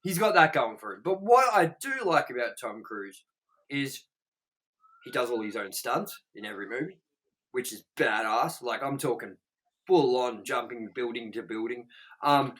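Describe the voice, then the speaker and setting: A man's voice: medium pitch, expressive, normal speed, a bit bright, rough recording. A young man co-hosting a movie review show. He reads out trivia and rambles bluntly, swearing and filling his talk with "like.". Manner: rambling, profane, blunt, with many "like" fillers. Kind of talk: casual chat reading out movie trivia.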